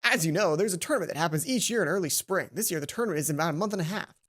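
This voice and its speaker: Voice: medium pitch, expressive, racing, fairly bright tone. A let's play host voicing a matter-of-fact schoolboy in a gaming club, explaining things earnestly, from a visual novel.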